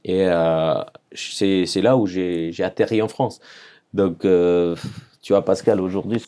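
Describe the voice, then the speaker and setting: Low Serbian-accented man, mellow tone. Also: steady tone, measured pace, clear, resonant tone. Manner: earnest, searching for words in French, repeats phrases. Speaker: podcast guest. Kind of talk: personal storytelling in an interview.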